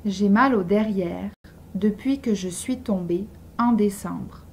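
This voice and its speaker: A woman slowly reading simple model sentences aloud for beginner language learners, pronouncing each one with care. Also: medium pitch, slight background noise. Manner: slow, carefully articulated dictation. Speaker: language teacher.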